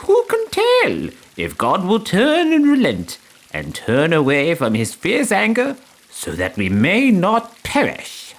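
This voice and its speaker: A woman's voice: fairly low pitch, wildly swooping pitch, slow, exaggerated voice. A woman playing a solemn king making a dramatic proclamation in a children's audio story. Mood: solemn, pleading.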